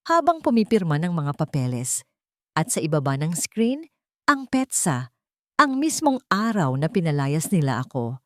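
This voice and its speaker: A woman narrating a dramatic first-person audiobook story in a measured, storytelling way. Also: deep voice, darkish tone, dry recording, clean studio sound.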